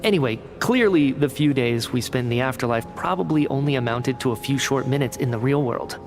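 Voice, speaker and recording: An earnest male researcher from a video game, played by an actor, speaks in a mid-pitched voice. He is articulate and analytical, working through questions methodically. Music plays clearly underneath. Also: brisk, natural voice. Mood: thoughtful. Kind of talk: video game dialogue acting. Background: background music.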